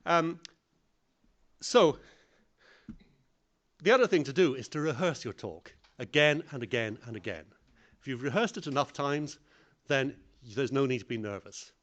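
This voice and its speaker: Male conference speaker, lively pitch swings, self-deprecating, plain-spoken. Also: normal speed, pauses often, highs cut off. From English-speaking country. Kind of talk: lecture on public speaking.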